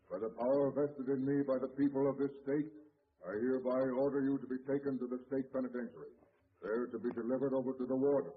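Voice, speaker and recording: A man's voice: medium pitch, close to an everyday voice. A man playing a solemn stern judge. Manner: formal, solemn pronouncing. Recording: muffled old radio recording with clear hiss.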